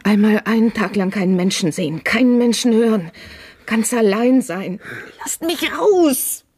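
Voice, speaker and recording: A woman playing an earnest, high-strung young dweller of a dystopian dome city in a science-fiction radio play. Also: expressive, measured pace, a little mellow, husky, dry recording. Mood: panicked, stifled. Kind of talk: 1970s German radio drama acting.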